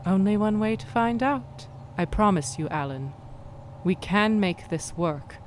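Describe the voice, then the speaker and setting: A woman's voice: mid-range voice, animated, a little hiss or hum, close to an everyday voice. Woman acting a defiant, ruthless masked vigilante woman. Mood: bargaining. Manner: formal, deliberate, menacing phrasing. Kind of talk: 1940s pulp radio drama acting.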